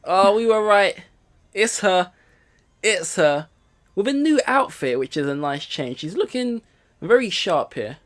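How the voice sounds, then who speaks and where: A man's voice: high voice, big pitch swings, measured pace, fairly bright tone. A man hosting a let's play, reading narration aloud and adding casual commentary, with music behind.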